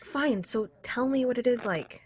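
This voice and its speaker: A woman's voice: on the high side, moderate pace, husky. A young woman playing a skeptical, persistent girlfriend in a contemporary radio drama, dry and argumentative as she questions her partner. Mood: dry, insistent. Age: young adult. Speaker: student voice actor.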